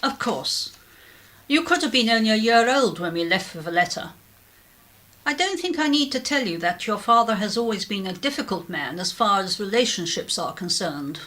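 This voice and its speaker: An actress playing a composed, supportive middle-aged English mother in a radio drama, measured and gently questioning. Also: medium pitch, expressive, lightly acted. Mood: supportive, candid.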